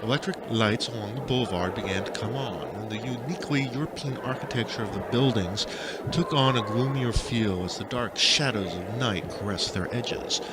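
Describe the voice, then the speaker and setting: A man reading first-person fiction aloud as an audiobook narrator, with an expressive, dramatic delivery. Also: lowish voice, normal speed, very noisy.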